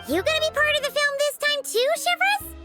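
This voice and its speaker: A woman voicing a small, chirpy, bossy fairy companion with a squeaky childlike voice in a video game. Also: brisk, over loud music. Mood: cheerful. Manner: excitable, refers to herself in third person. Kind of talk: English video game voice acting.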